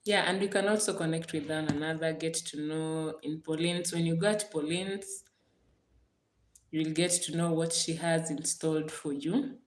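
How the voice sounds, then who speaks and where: Kenyan lady on a livestream, lowish voice, echoey room, keeps saying thank you thank you. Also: fairly even intonation, moderate pace, a little hiss or hum. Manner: repeats her thanks over and over; warm and gracious toward her viewers. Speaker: livestream host. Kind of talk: casual livestream chat with viewers.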